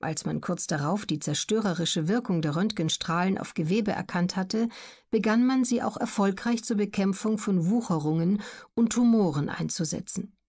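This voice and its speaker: A woman narrating scripted educational documentary material as an audiobook reader, measured and carefully articulated. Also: lowish voice, a little mellow.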